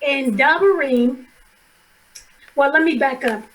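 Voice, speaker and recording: A hoarse middle-aged woman on an online study call, asking a question hesitantly and restarting her sentences as she works out what she wants to ask. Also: sits high, slow, a bit bright, poor audio.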